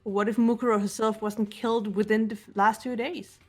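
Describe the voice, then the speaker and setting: A woman voicing a cool, analytical teenage girl detective, calm and measured, lecturing with precise logic. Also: medium pitch, faint music behind, lightly acted. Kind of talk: amateur voiced game playthrough.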